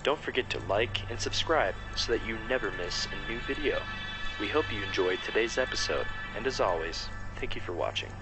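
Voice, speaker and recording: Low-voiced man narrating over music. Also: animated, fairly quick, low-quality recording. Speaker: channel narrator. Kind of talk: scripted outro narration. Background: prominent music.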